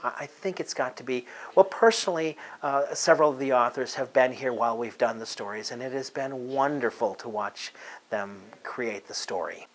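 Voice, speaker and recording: Male interviewee, theatre producer type, medium pitch, informal and halting delivery. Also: a bit bright. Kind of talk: casual interview chat.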